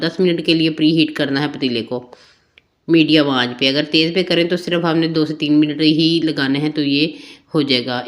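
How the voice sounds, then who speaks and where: A woman with a Pakistani Urdu accent explains a home recipe in a chatty, step-by-step voice-over. Her voice sits a little low and comes out slightly hoarse. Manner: homely, chatty step-by-step instruction. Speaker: cooking channel host. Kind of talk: home cooking tutorial voice-over.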